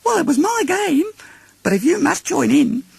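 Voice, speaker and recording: A very high, childlike little boy kangaroo in a children's storybook. He is eager and cheeky, piping his words with swooping pitch. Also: big pitch swings, normal speed, vocal fry, a little mellow, no echo, heavily acted. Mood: puzzled.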